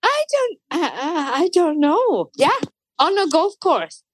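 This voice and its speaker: A woman's voice: extremely high pitch, wildly swooping pitch, takes it slowly, dry recording. Chatty swedish woman, lots of fillers and restarts, dry close sound. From Sweden. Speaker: guest. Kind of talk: casual conversational interview.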